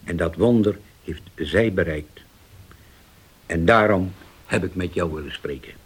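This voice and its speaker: An actor playing a grave older uncle in a radio drama, deliberate and halting, once vengeful and now forgiving. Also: male voice, low-pitched, slightly rough. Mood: humbled, earnest.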